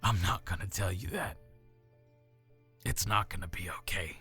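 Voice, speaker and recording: A man voicing a weary, scarred old orc mentor with a deep, throaty, gravelly voice, a subtle Southern twang and a hushed, quiet delivery. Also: moderate pace, soft background music, heavily acted. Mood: consoling.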